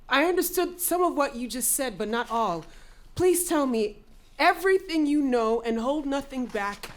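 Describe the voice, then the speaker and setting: A dignified queen of Greek tragedy played by a woman, with a fairly high voice. She is formal and earnest, anxious beneath her composure, as in a staged reading. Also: natural voice. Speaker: actor in a dramatic reading.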